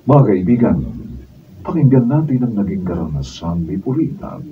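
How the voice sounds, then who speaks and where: A man's voice: fairly low pitch, very animated, moderate pace, slight background noise. A Filipino man introducing a radio drama as its narrator, reading from a script. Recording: distant mic, dull sound.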